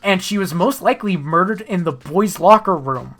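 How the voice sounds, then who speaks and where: A young adult man streaming a game with casual, excitable commentary and some swearing. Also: high voice, normal speed, faint music behind.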